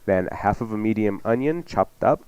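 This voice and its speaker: A man's voice: lowish voice, expressive, a little fast, a little mellow, clear, resonant tone, no echo, lo-fi sound. A man working as a radio chef, giving recipes in a casual, folksy, joking way. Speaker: chef and show regular. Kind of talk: casual chatty recipe instruction.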